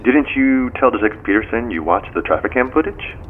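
An actor playing a composed, probing American police detective in an audio drama, speaking formally and in measured phrases over a phone. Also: male voice, mid-range voice, expressive. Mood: matter-of-fact. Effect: phone call. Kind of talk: modern audio drama dialogue. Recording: tinny phone line with background noise.

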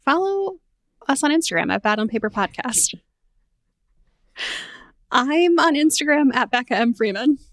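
A woman hosting a book podcast while traveling, talking eagerly in quick run-on sentences with fillers. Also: high-pitched, expressive, dry recording, studio quality. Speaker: podcast host. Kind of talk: casual book chat. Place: temporary room while traveling.

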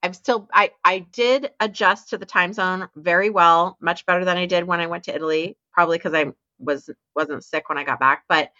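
Bright-voiced chatty woman podcast host. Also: on the low side, normal speed, few pauses, no room sound, highs cut off. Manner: chatty, rambling with restarts. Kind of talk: casual chat.

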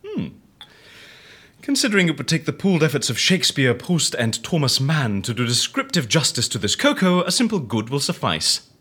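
An actor playing a wry, mocking fixer, theatrical and teasing, in an audio drama. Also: male voice, fairly high pitch, wildly swooping pitch, normal speed, pushed and bright, husky, heavily acted. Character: wry, mocking man who helps people disappear.

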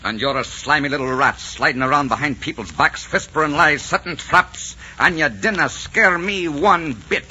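A man's voice: a little high, moderate pace, few pauses, bright, forceful tone. An actor playing a bold, passionate nobleman, theatrical and emphatic in 1940s radio drama style. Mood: defiant. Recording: muffled, hissy 1940s radio recording.

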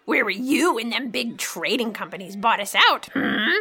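A sly old beggar widow, high and bright, with a creaky rasp. She swings from salty scorn to mock-pitiful wheedling, as theatrical as fantasy audio drama gets. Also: lively intonation, normal speed, full character voice. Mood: scornful, needling. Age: older. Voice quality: raspy, creaky. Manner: wheedling, theatrical, sarcastic, folksy.

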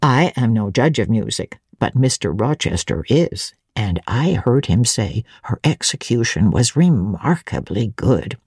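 A woman voicing an elderly, genteel housekeeper in a classic audiobook, chatty and admiring as she savours each detail in a measured way. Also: very deep voice, sing-song, a little mellow, slightly rough, dry recording, clean studio sound, voice pushed down, full character voice. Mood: confiding, sceptical. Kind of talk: dramatic audiobook reading of classic literature.

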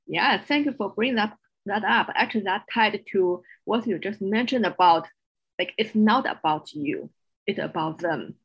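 A woman's voice: medium pitch, normal speed, breathy edge, poor audio. A woman with a strong Chinese accent, a non-native English speaker, speaking earnestly as a guest coach in an interview. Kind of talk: podcast interview.

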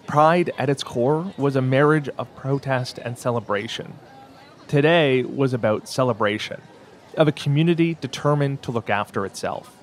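A man narrating a documentary podcast as its host, in a calm, descriptive storytelling manner. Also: medium pitch, moderate pace, slight background noise.

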